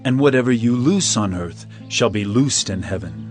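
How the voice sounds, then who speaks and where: Male actor as admonishing holy teacher, declamatory, animated intonation, audio Bible drama, music underneath. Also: on the low side, moderate pace, close to an everyday voice. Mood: solemn. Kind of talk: dramatized scripture reading.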